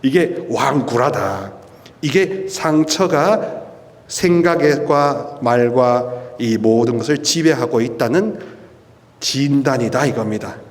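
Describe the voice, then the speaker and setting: Priest preaching in a reverberant hall. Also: male voice, mid-range voice. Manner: lively, emphatic preaching with rhetorical questions and drawn-out stresses. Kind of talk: charismatic prayer-meeting sermon. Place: church hall at a prayer meeting. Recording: long reverb of a church hall.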